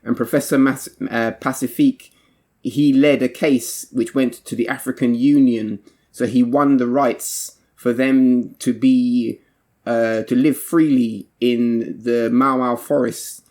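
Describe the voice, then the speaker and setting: A man interviewing a guest, his questions measured and supportive. His voice sits at an ordinary middle pitch. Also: fairly even intonation, a little mellow.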